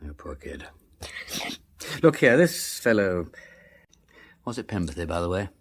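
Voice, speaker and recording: An actor playing an urbane, flippant but kindly gentleman detective in a radio drama, with an upper-class English accent and breezy, drawling old-fashioned slang. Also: low-pitched, very animated, unhurried, full character voice. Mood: probing, sympathetic. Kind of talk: full-cast radio drama acting.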